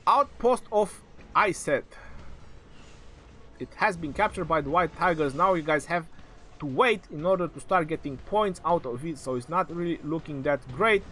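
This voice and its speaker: Male live-stream game caster, a touch high, excitable and jokey, voice turning hoarse, light hiss behind. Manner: excitable, joking play-by-play. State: voice going hoarse. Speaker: game match commentator.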